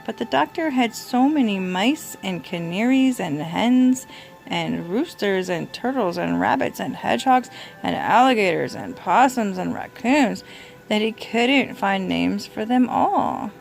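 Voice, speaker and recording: A young adult woman reading a children's storybook aloud as a mother does, gently prompting a small child. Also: medium pitch, expressive, measured pace, gravelly, soft background music, rough recording. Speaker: mother reading to her toddler.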